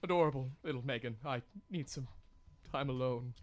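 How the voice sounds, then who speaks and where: A man's voice: mid-range voice, a little fast, very noisy, lightly acted. A young man playing a guilty, lovesick, self-pitying businessman with melodramatic radio soap delivery. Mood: guilty, weepy. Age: young adult. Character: weepy, guilty, lovesick businessman Uncle Monty. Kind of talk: campy radio soap-opera acting. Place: small radio studio.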